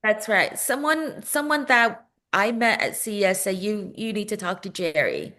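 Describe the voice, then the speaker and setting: A woman's voice: medium pitch, sing-song, normal speed, bright, forceful tone. A woman hosting a webinar over a video call, greeting and thanking each guest as they join. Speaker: webinar host.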